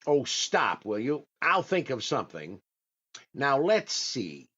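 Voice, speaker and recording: Fussy exasperated old-time radio comedian, animated, kinda hesitant, dull recording. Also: male voice, mid-range voice, slow pace, breathy edge, heavily acted. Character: fussy, easily exasperated comedian out Christmas shopping. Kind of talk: 1940s radio comedy acting.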